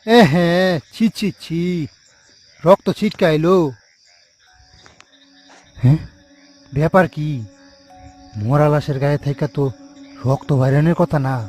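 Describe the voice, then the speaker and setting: An uneasy cartoon morgue worker acted by a man with a slightly high voice. He mutters questions to himself, repeats words and puts on rustic bravado. Light music plays behind him. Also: steady tone, unhurried, long pauses, darkish tone, lightly acted. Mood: uneasy muttering.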